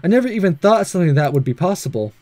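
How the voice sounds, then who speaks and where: A man voicing an earnest, nervous, lovestruck male high school student in a visual novel, speaking hesitantly. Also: very animated, rapid-fire, dark tone, no room sound. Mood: earnest, pondering. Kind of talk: reading visual novel dialogue aloud.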